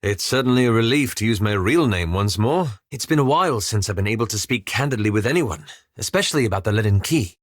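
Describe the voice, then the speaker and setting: An actor playing a reserved, scholarly male fantasy adventurer in a video game, speaking formally and articulately with measured, wry understatement. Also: on the low side, expressive, dry recording, studio quality. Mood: measured, thoughtful. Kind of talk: scripted video game character dialogue.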